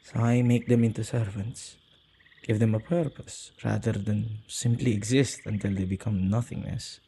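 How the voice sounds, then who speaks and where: A man playing a possessive yet soft-hearted male witch in an intimate ASMR roleplay, speaking gently and haltingly in slightly archaic phrasing. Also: steady tone, slight background noise. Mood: somber, reluctant. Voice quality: low, husky, creaky voice.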